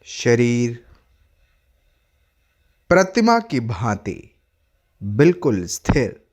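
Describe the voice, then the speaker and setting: Very slow-paced man guiding meditation. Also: medium pitch, sing-song. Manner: short instructive phrases with long pauses, repetitive. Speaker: yoga instructor. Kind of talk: guided meditation, yoga nidra instruction.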